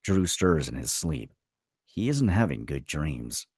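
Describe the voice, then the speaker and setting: A man with an extremely deep voice narrates screenplay stage directions. His delivery is dramatic, with pitch that swings widely, and the sound is dry and close. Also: big pitch swings, slow pace, a little mellow, husky, no room sound.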